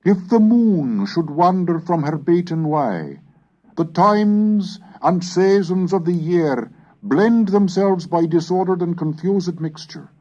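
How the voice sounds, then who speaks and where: A man reading a scripted educational narration in a formal, measured, oratorical manner. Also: high voice, expressive, darkish tone. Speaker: lecturer and reader. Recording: dull lo-fi cassette recording.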